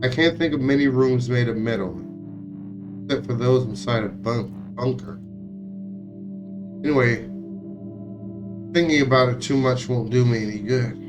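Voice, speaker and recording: Man, game streamer, reading visual novel aloud, slightly halting delivery, mid-pitched voice, clear guitar music in background.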